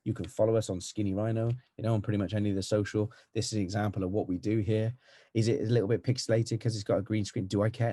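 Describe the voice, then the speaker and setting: Fast-talking West Country man, husky and dark. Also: on the low side, no echo, clean studio sound. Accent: West Country English. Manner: fast, energetic, chatty. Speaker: marketing agency owner. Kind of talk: casual tutorial talk to camera. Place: home with green screen background. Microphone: video call.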